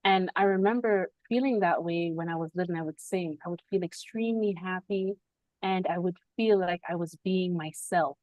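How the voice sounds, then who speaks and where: A woman's voice: fairly low pitch, normal speed, darkish tone, no room sound, lo-fi sound. A woman hosting a spiritual podcast on a video call, speaking reflectively and laughing often between thoughts. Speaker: podcast host.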